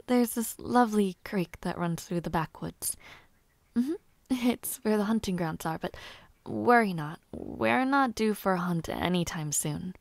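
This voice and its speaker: A woman's voice: mid-range voice, gravelly, dry recording. A woman playing an eager, playfully bossy young noblewoman who hopes to be a knight, in an intimate audio roleplay, with formal old-fashioned phrasing and little hesitations.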